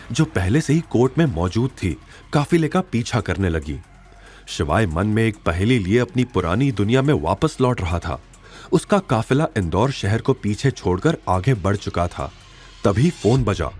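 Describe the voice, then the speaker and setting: A man's voice: lowish voice, big pitch swings, moderate pace, a little mellow, a little hiss or hum. A man narrating a scripted audio drama in a dramatic storytelling style.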